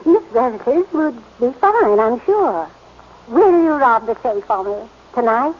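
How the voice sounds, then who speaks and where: A woman's voice: fairly high pitch, sing-song, a little slow. An actress playing a genteel, easily flustered woman of seventy in a 1940s radio drama. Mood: fluttery. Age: 70s. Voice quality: fussy, flustered refrains. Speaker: elderly society lady asking for a theft. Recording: dull, lightly hissy old radio broadcast.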